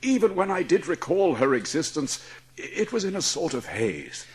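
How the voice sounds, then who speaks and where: An actor playing a guilt-ridden, ailing, middle-aged gentleman in a dramatised audiobook, speaking with halting anguish. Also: on the high side, big pitch swings, breathy edge. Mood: anguished, remorseful.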